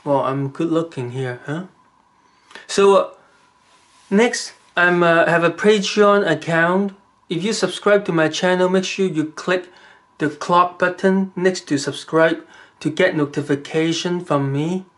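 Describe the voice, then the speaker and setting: Man, Chinese accent, vlogger, unhurried delivery, rambling with restarts. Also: fairly high pitch, fairly bright tone. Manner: rambling, halting, with many fillers and restarts. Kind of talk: casual advice monologue to camera.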